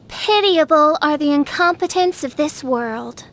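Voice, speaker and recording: High-voiced, pompous teenage princess. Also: female voice, animated, takes it slowly, fairly bright tone, full character voice. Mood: disdainful. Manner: pompous, archaic, dramatic royal speech. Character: grandiose theatrical teenage girl, self-styled princess. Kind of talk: video game character voice lines.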